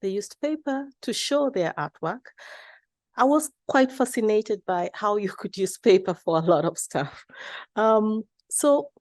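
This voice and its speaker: A woman's voice: mid-range voice, a little mellow, no echo. A woman presenting at an award ceremony, moving between informal remarks and a prepared introduction of an honoree. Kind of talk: semi-scripted award introduction.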